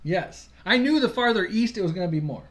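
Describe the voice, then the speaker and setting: Male livestream co-host from Michigan; relaxed, talkative, quick to laugh. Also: high-pitched. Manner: chatty, laughs easily, loses his place. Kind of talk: casual live-stream chat.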